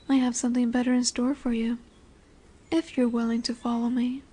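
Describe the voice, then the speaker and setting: A woman voicing a dominant lamia in a roleplay audio, curious and condescending yet nurturing, speaking deliberately with teasing, soothing near-whispers. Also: a little high, little pitch movement, dark tone, slight background noise, close to an everyday voice. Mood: commanding, enticing. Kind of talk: slow roleplay audio monologue addressed to the listener. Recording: birdsong and woodland ambience behind. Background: birdsong, forest ambience.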